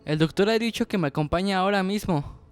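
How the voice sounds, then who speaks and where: A man voicing a frightened, breathless street boy of about twelve in an audiobook, urgent and halting. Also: sits high, in a large hall, voice pushed up, lightly acted.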